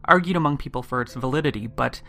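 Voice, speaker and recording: Gravelly man narrating an eerie podcast. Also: medium pitch, fast talker, bright, forceful tone. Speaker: podcast host.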